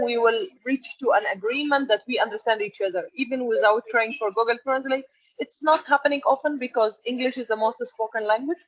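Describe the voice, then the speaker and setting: A woman's voice: on the high side, slightly rough, no room sound. Earnest Arabic-speaking woman, dull dry audio. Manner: earnest, fast and halting, with frequent restarts. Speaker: interview guest, export manager. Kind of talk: conversational interview.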